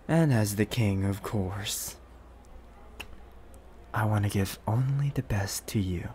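Rich possessive boyfriend for ASMR roleplay, male performer: smooth, coaxing, lowish pitch, noticeable background noise. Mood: doting, coaxing. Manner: soft-spoken, unhurried, coaxing with pet names. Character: rich possessive young boyfriend, smooth, doting, quietly menacing.

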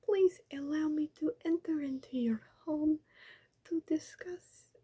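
A woman's voice: sits high, a little slow, lo-fi sound. A young woman in an ASMR roleplay, whispering as a scheming female vampire in silly disguises who pleads in broken, formal English. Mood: wheedling. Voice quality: soft whisper. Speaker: ASMR creator. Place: small bedroom with pink backdrop. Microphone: close webcam framing.

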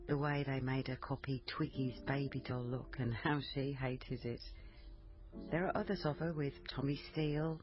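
A sober policewoman in a radio crime drama, watchful and understated. She sounds intimate, on a slightly muffled recording with music behind her. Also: very deep voice. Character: female police family liaison officer, observant and sober. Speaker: lead actress and first-person narrator.

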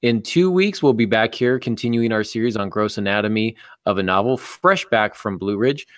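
A man's voice: on the low side, animated, moderate pace, no echo. A middle-aged man hosting a casual live podcast in a dry, joking manner, close to a desk microphone. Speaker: podcast host and football coach. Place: indoor office, on a video call. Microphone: close desktop microphone.

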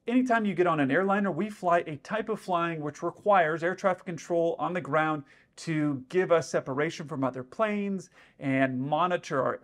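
A man in his thirties, an airline pilot, explaining radio exchanges to camera in a casual, step-by-step way, recorded in a dim indoor room. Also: fairly high pitch. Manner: explanatory, with frequent "let's say" asides.

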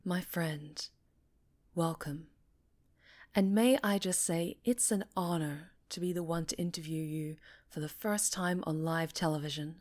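A woman's voice: deep voice, steady tone, measured pace, a little mellow. A woman playing a polished, encouraging female talk show host in a scripted ASMR roleplay, articulate and reassuring with a showy presenter's delivery. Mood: earnest, showy.

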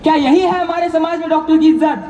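A man playing a beaten but dutiful doctor in a stage drama, pleading in loud, declamatory, repetitive phrases. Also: very high-pitched, fairly even intonation, fast, dull audio. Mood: anguished. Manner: declamatory, repeats phrases for emphasis. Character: beaten, anguished yet dutiful male doctor, pleading to society. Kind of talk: loud theatrical stage monologue. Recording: echoey hall from far off, noisy.

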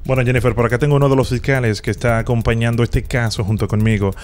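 A young man hosting a prank phone call, speaking gravely like an official into a close studio mic. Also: mid-range voice, fairly even intonation, fast. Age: young adult. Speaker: prank call show host. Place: home studio room. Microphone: close studio mic on boom arm. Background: music.

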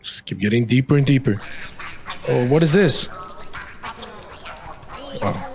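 Animated man doing casual game commentary. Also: mid-range voice, measured pace, soft background music. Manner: slangy, blunt, repeats short phrases. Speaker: video game streamer.

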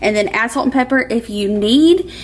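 A woman's voice: a little high, normal speed, slight background noise. A woman in her thirties hosting a home cooking vlog in a chatty, informal way, narrating casually as she goes. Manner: chatty, informal, laughs easily. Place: home kitchen.

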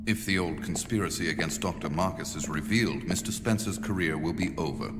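Deep, cold game conspirator. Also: male voice. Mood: cool calculation. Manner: measured, detached. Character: cold, calculating, arrogant male conspirator in uniform. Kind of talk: video game villain acting. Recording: long reverb, loud music behind.

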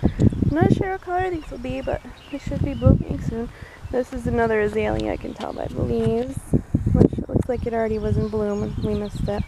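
A woman's voice: a little high, fairly quick, gravelly. Chatty woman filming an outdoor garden walk. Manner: chatty and unsure, hedging her plant names. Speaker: guide filming a garden tour. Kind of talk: casual walking garden tour. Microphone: handheld camera mic. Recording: loud outdoor noise on a camera mic.

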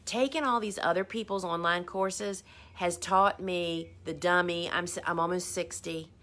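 A woman's voice: fairly low pitch, steady tone, normal speed, fairly bright tone. Chatty woman in her fifties. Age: 50s. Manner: chatty, rambling, self-deprecating. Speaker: host. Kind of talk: casual live stream answering viewer questions.

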